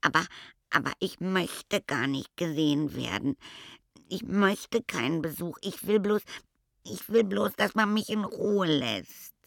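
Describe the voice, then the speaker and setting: Whiny fretful little creature, a man putting it on, high and pleading. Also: expressive, measured pace, gravelly, fairly bright tone, no room sound, studio quality, full character voice. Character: timid, anxious little male creature, wants to be alone.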